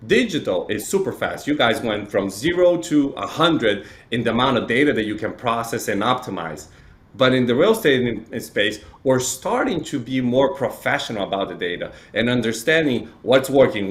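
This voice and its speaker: A man's voice: medium pitch, expressive, normal speed. Conversational man from Chile, explaining. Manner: explanatory, uses frequent "right?" tags. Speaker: interview guest, real estate data provider. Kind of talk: conversational interview talk.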